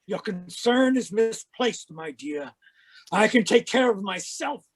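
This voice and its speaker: A man's voice: high voice, expressive, takes it slowly, a little hiss or hum. An actor playing a dignified, indignant man past sixty in an old-time radio crime drama, with stiff, formal diction. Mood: affronted. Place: performed from home on a video call.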